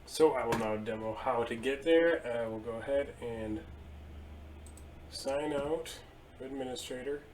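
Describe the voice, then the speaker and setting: A man in his thirties at his desk, casually explaining software settings step by step with a few fillers. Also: medium pitch, slight background noise. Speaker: IT tutorial presenter. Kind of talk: screen-share software walkthrough. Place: indoor room at a desk.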